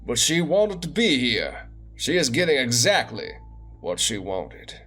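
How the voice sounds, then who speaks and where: A man voicing a grinning, menacing bartender with a put-on Creole accent, loud and falsely cheery. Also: medium pitch, big pitch swings, measured pace, faint music behind. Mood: smug. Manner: loud, threatening, overly cheery. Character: grinning, menacing male bartender in a hellish dive.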